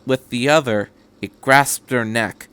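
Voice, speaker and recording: A man's voice: medium pitch, a little slow, fairly bright tone, clear, resonant tone. A man reading a story aloud as a podcast host, in scripted narration. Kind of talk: scripted narration of fan fiction.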